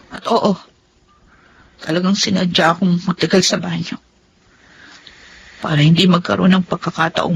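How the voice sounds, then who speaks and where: A woman's voice: mid-range voice, vocal fry. An actress playing a bitter, wounded woman out for revenge in a Filipino melodrama, with anguished, emphatic delivery. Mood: grim, confessing. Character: bitter, wounded, vengeful woman betrayed in love.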